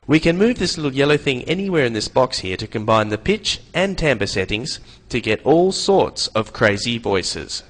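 A young man narrating a scripted software tutorial in a casual, playful manner. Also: mid-range voice, big pitch swings, moderate pace, hardly any pauses. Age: young adult.

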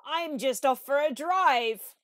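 A woman voicing a boastful, reckless gentleman toad from a children's storybook, exclaiming theatrically. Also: high voice, takes it slowly, no room sound, studio quality, voice pushed up. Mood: excited, breezy.

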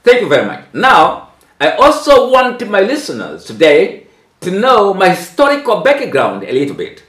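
A Tanzanian man in his seventies with a Tanzanian accent, a preacher speaking deliberately, phrase by phrase, often repeating himself. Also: high-pitched, wildly swooping pitch, fairly bright tone. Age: 70s. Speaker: archbishop. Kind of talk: religious address given phrase by phrase for an interpreter. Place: small tiled room indoors. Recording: echoey room.

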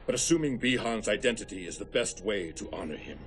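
A man's voice: fairly low pitch, expressive, brisk, fairly bright tone, slight background noise. An actor playing a solemn, vengeful male ninja assassin in a video game cutscene.

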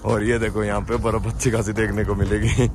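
A man in his thirties, chatty and upbeat, vlogging to his phone held at arm's length on a high mountain roadside. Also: little pitch movement, fairly quick, music in the background. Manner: chatty, upbeat, pointing out sights to viewers. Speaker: travel vlogger. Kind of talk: casual travel vlog to camera.

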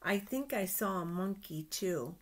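An older woman reads a picture book aloud to small children at an unhurried pace. Her pitch is average, and she often exclaims and stretches out words as she points things out. It is a webcam recording in a small office, with slight background noise. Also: expressive. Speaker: storytime reader for children. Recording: noisy webcam recording with clicks.

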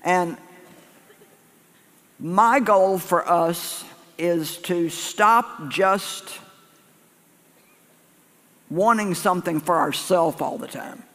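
An older man preaches to a packed church in a high, light voice, folksy and conversational. He restarts phrases and circles back to hammer a point home. His voice rings out across a long-reverb sanctuary. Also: extremely slow, long pauses, fairly bright tone. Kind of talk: sermon to a live congregation. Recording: echoey church hall.

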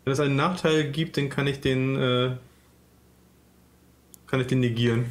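A man speaking haltingly as a player in a casual tabletop role-playing game over an online call. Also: medium pitch, fairly even intonation. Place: indoors, online video call. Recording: clearly noisy mic with clicks.

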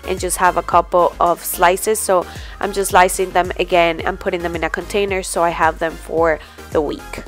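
A young woman and mother chatting casually to the camera in her home kitchen, relaxed and a little rambling. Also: lowish voice, normal speed, soft background music. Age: young adult. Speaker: vlogger, mom.

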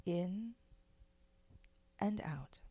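A woman leading a guided meditation in a gentle, measured, soothing way, with pauses between phrases. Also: fairly low pitch, animated, breathy edge. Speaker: guided meditation leader, spiritual director.

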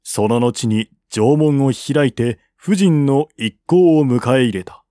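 A man reading a historical novel aloud as scripted narration, in a formal, measured literary manner. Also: mid-range voice, lively intonation, warm tone, no room sound, studio quality. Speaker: audiobook reader.

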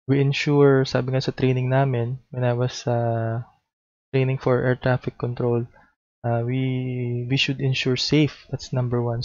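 A man's voice: mid-range voice, darkish tone, dry recording. A Filipino-accented man in his thirties, teaching air traffic control in an instructional way, on a headset mic. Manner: instructional, mixes Tagalog into English. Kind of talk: demonstration lesson with radio instructions. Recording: dull lo-fi close recording.

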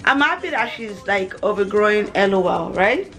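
A woman's voice: mid-range voice, lively intonation, normal speed, a bit bright, soft background music. A woman in her thirties chatting casually to a camera at arm's length in a home kitchen, repeating words and drifting into asides. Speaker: family vlogger and mother. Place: small home kitchen, indoors. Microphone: camera held at arm's length.

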